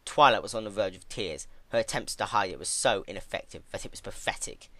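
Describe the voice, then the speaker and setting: A man giving a dramatic scripted narration of a dark story. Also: on the low side, animated, a little fast, no room sound.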